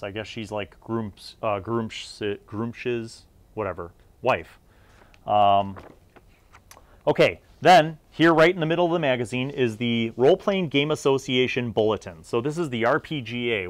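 A man's voice: medium pitch, no room sound. A middle-aged man giving a casual, rambling review as a host, often correcting himself as he goes. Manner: conversational, rambling, self-correcting. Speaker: host reviewing old gaming magazines.

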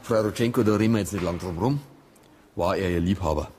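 An actor playing a dry, persistent police detective with a Bavarian accent and a colloquial, matter-of-fact manner. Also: male voice, sits low, fast, a little mellow, a little hiss or hum, natural voice. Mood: probing.

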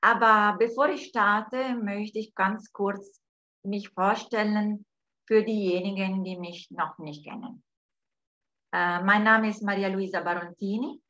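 Slow Italian-accented woman hosting online. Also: medium pitch, fairly even intonation, pushed and bright. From Italy. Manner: slow, hesitant with many fillers. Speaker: host. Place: home room, indoors. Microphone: video call. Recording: dull lo-fi video call audio.

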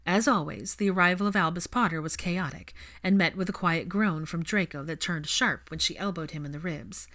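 A woman's voice: fairly low pitch, normal speed, hardly any pauses, a bit bright. A woman narrating fan fiction as scripted audiobook storytelling.

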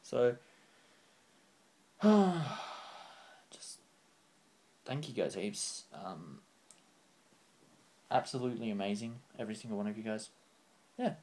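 A young man signing off his vlog, rambling off the cuff and earnest, his voice getting a bit croaky. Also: lowish voice, slow pace, pauses often, a little mellow. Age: young adult. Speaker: channel host. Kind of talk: unscripted vlog sign-off. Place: small room indoors, on a couch.

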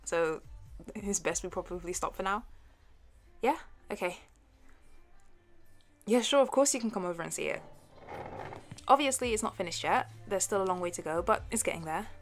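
A woman's voice: mid-range voice, animated, normal speed, pauses often, soft background music, natural voice. A young woman playing a flustered, playful artsy college girl with a crush, stammering and giggly in an intimate audio roleplay. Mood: shy, teasing. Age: young adult.

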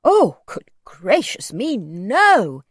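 A woman voicing a gentle, pleading, very old gentleman in a storybook, well-spoken and old-fashioned in his courtesy. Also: medium pitch, very animated, unhurried, no echo, clean studio sound. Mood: shocked protest. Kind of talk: storybook character voice.

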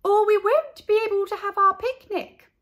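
A woman voicing a bright, commanding female schoolteacher in a storybook, announcing in a sing-song way. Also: very high-pitched, moderate pace, voice pushed up. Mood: firm.

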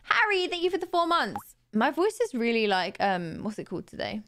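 A young woman streaming from her room late at night, tired and a little raspy, chatting casually and thanking viewers on a close studio mic. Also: a little high, very animated, a little fast, a bit bright. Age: young adult. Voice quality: slightly raspy today. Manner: chatty, bubbly, laughs easily. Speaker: live streamer. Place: home streaming room, indoors. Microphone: boom studio mic close to mouth.

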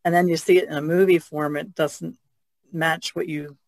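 A man's voice: on the high side, level tone, normal speed, creaky, no room sound. A man in a casual podcast discussion, explaining his thoughts in a tentative way.

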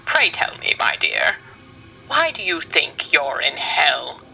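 A man's voice: on the high side, huge pitch swings, takes it slowly, vocal fry, sharp tone, faint music behind, full character voice, low-quality recording. A man voicing a sinister, suave male demon who talks in a theatrical, mocking way with honeyed menace. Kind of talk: fan comic dub.

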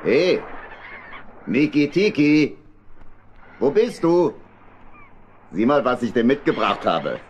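An actor playing a grumpy old badger uncle with a gruff manner in a children's radio play. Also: male voice, medium pitch, sing-song, takes it slowly, pauses often, muffled recording, a little hiss or hum. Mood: calling out. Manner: gruff, scolding, grumbling.

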